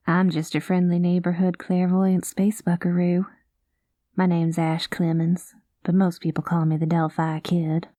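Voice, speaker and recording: A woman voicing a kind, easygoing space cowgirl pilot in an ASMR roleplay, talking gently in a folksy cowboy manner. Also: lowish voice, no room sound, clean studio sound, natural voice. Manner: folksy drawling cowboy talk, calls listener partner; gentle and soothing.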